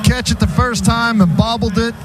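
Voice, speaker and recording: A man's voice: very high voice, fairly even intonation, normal speed. An American man working as radio color commentator, explaining football plays in a conversational way. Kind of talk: live football analysis. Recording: noisy stadium radio broadcast.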